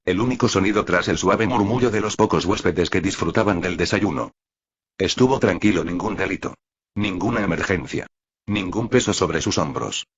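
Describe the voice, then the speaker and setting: Male narrator for prose fiction: deep register, husky edge, slightly muffled lo-fi sound. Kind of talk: scripted audiobook narration of fan fiction.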